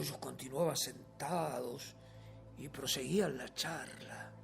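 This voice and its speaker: A Uruguayan actor playing a paranoid, guilt-ridden murderer in a theatrical radio horror monologue, with intense, halting delivery. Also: male voice, high voice, vocal fry, full character voice. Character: guilt-maddened murderer confessing, paranoid, increasingly frantic man. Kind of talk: theatrical dramatic reading of a horror story.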